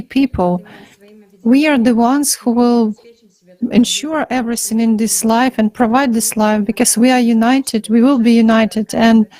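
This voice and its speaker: A woman with a slightly high voice interprets live in a conversational register. Her delivery comes in halting chunks, phrase by phrase. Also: a little mellow, low-quality recording. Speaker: interpreter voicing a forum speaker.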